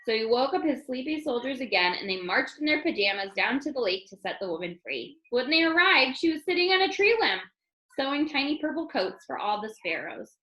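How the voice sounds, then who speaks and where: Bright-voiced woman reading a storybook. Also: sits high, very animated, a little fast. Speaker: storybook reader. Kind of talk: expressive children's story narration. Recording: roomy, echoing room sound.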